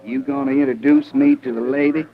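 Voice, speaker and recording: An actor playing a cocky, taunting cowboy troublemaker in a 1950s radio western, drawling with a rustic American Western accent. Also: male voice, medium pitch, steady tone, a little fast, lightly acted. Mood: brash. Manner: drawling, swaggering, mocking. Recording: muffled old-time radio recording.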